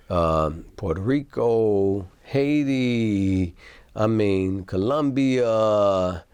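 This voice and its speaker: Low-voiced casual male talk show host. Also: a little mellow, no room sound. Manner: casual, rambling, asks "right?" often.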